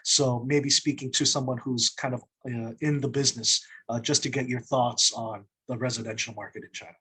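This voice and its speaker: Husky male host, hesitant questions. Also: medium pitch, steady tone, sharp tone, poor audio. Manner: hesitant, halting. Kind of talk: interview questions.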